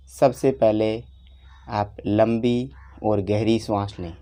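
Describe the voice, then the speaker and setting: A man teaches guided meditation in a lowish, mellow voice. He speaks very slowly, laying out each instruction in short phrases and leaving long pauses between them. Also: fairly even intonation.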